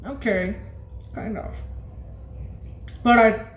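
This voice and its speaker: A woman presents a sound demo in a fairly high-pitched voice at a very slow pace. She thinks aloud, halting often, repeating phrases and questioning herself as she goes. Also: steady tone. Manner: halting, repetitive, questioning, often muttering to self. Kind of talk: rambling demonstration commentary, thinking aloud.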